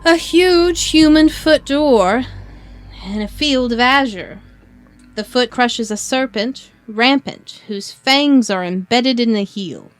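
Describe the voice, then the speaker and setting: Woman as slow, scheming aristocrat feigning friendship. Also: on the high side, expressive, soft background music. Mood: ominous, stately. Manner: measured, formal, archaic diction with deliberate pauses. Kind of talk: theatrical audio drama acting.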